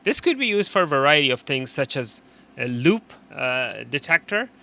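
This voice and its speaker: Very bright-voiced man giving a hands-on tutorial. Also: medium pitch, sing-song, creaky, slight background noise. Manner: halting, repeats and rephrases instructions. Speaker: technician presenting a how-to video. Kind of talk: unscripted hands-on tutorial explanation.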